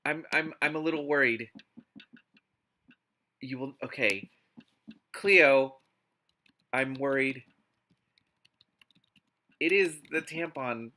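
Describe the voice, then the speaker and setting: Bright-voiced man reading aloud, expressively exasperated. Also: medium pitch, takes it slowly, long pauses. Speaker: host reading a book aloud with commentary. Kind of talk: live read-aloud with casual reactive commentary.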